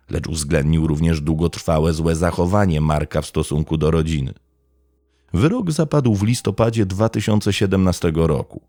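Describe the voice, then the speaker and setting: A man narrating a scripted true crime story as podcast host, in a measured, dramatic storytelling manner. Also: low voice, creaky, warm tone, no echo, clean studio sound.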